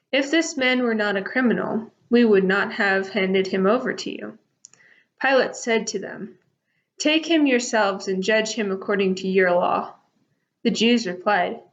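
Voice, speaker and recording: A woman reading a Bible narrative aloud as a lay reader. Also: medium pitch. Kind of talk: scripture reading. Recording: dull, lo-fi recording.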